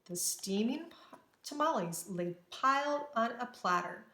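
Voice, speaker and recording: A woman reading a children's story aloud with expressive, dramatic narration and careful phrasing, in an echoey room. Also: fairly high pitch, unhurried. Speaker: storybook reader.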